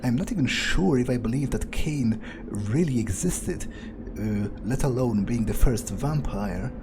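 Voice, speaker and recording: A man's voice: mid-range voice, expressive, measured pace, background noise. A man doing in-character game commentary, thinking aloud in a musing, hesitant, casually sweary way. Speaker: video game player narrating in character.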